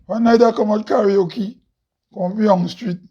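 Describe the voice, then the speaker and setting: A man with a Grenadian accent talks in a loud, heavy voice that sits fairly high and sounds husky. He preaches with rhetorical questions and frequent laughs. The recording is dull and lo-fi. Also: fairly even intonation, unhurried. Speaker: host. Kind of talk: impassioned monologue.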